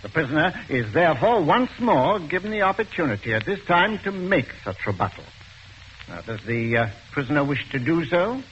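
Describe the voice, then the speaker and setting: A man's voice: fairly high pitch, big pitch swings, a bit bright. A pompous judge intoning from the bench, his voice rising and falling widely through long formal sentences. The sound is muffled and old, with a thin hiss behind it. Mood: stern. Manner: pompous, measured, formal with hesitant uhs. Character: formal older male judge of a crown court. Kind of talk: 1940s radio acting. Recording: dull old radio recording, faint hiss.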